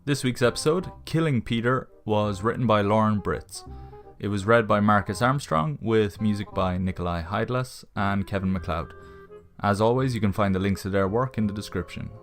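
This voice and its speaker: A man's voice: on the low side, moderate pace, soft background music. A man presenting a scripted podcast outro as the show's announcer. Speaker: show announcer.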